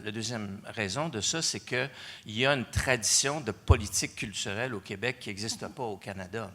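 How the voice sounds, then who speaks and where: A man's voice: fairly low pitch, pushed and bright. A middle-aged man speaking on a panel, hesitant with many restarts. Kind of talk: panel discussion.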